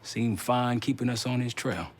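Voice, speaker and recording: An actor playing a wary male intelligence operative with a husky voice in a game cutscene. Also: medium pitch, normal speed, close to an everyday voice. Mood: pensive, wary. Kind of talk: restrained cinematic game acting.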